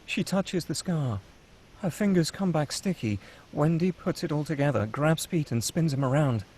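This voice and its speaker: Guy narrating a screenplay table read, husky voice, a bit high. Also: lively intonation, moderate pace, a little mellow, low-quality recording. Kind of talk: scripted narration of screenplay action and scene headings.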